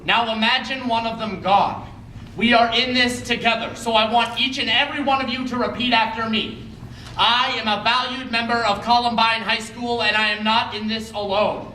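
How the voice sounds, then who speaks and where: A high, bright-voiced school principal, acted by a young man for readers theater. He is earnest and protective, speaking with dramatic emphasis. The sound is distant and roomy, as if from a stage. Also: fairly even intonation, normal speed. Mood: solemn. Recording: roomy live stage, distant mic, faint noise.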